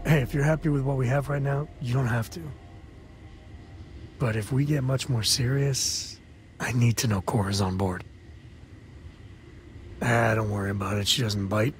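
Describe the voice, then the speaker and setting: An actor playing a rugged, bashful space cowboy and affectionate father in intimate video game dialogue, speaking haltingly and sincerely. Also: medium pitch, slight background noise, lightly acted. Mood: earnest, hesitant. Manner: halting, earnest, sheepish. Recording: music behind the voice.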